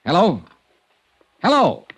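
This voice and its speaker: An American actor playing a hard-boiled, wry insurance investigator in an old-time radio detective drama. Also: male voice, sits high, wildly swooping pitch, slow pace, fairly bright tone, a little hiss or hum, full character voice. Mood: demanding, agitated. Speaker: lead actor in detective serial. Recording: vintage radio recording, faint music.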